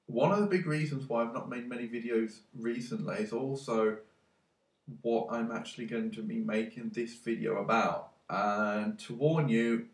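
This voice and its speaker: A man's voice: lowish voice, distant mic. A man with a cold talks in a rambling, hesitant way, congested and full of ums. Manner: hesitant, many ums and restarts. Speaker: tech vlogger. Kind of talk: casual rambling monologue. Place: his own room. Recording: echoey room, voice away from mic.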